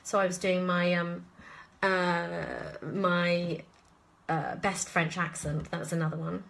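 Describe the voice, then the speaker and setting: A woman's voice: fairly low pitch, level tone, takes it slowly, gravelly, fairly bright tone. A British woman chatting casually in a live Q&A at home, rambling and self-deprecating as she goes. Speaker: actress and voice actor answering viewer questions. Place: at home, indoors.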